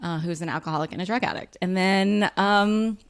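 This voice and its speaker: A woman, talking candidly as an interview guest, frank and self-deprecating with lots of fillers and quick laughs. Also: medium pitch, clean tone, no echo, clean studio sound. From United States. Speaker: author and guest.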